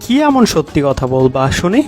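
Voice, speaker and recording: A medium-pitched, very animated cartoon voice of a teasing older relative, played by a performer. The delivery is bantering and wheedling, quick to correct and to make excuses. Music runs underneath. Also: lightly acted. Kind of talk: comic cartoon dialogue.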